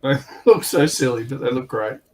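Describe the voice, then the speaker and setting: A man's voice: mid-range voice, lively intonation, moderate pace, a little mellow. A man explaining game rules as a panelist in a casual hobby chat.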